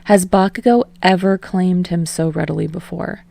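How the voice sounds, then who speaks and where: Female fiction narrator, low register, slightly gravelly, expressive scripted read. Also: normal speed, dry recording. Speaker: audiobook reader of fan fiction.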